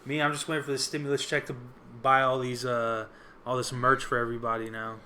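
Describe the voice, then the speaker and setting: A man's voice: medium pitch, flat delivery, quick pace, pushed and bright. A man co-hosting a podcast in casual chat, chiming in supportively with slang and some swearing. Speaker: podcast co-host. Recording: faint background noise.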